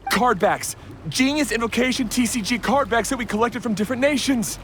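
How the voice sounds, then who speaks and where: High-voiced timid bandit henchman. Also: brisk, a bit bright, a little hiss or hum. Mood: confessing. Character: timid, pleading young male bandit henchman. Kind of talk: video game voice acting.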